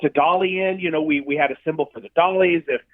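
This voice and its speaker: A man who directs films, a guest telling stories in a casual interview, animated and swearing freely. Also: fairly high pitch, quick pace, no room sound. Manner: animated, profane storyteller. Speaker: film director and effects artist, guest. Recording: dry tinny phone-like line.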